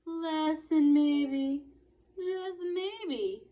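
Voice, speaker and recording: A woman reading aloud plays a feisty little storybook man. The voice is pushed up high, with a drawling twang, and has a cocky, taunting edge with folksy, drawn-out challenges. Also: mellow. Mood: taunting, combative. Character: scrappy, taunting little toy cowboy man, cocky and mocking. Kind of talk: children's storybook read-aloud.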